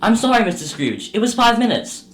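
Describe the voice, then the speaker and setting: Someone playing a meek clerk, lively pitch. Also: brisk, lightly acted, rough recording. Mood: meek, pleading. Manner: hesitant, apologetic. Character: meek, polite male clerk, deferential to his employer. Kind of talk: radio drama acting.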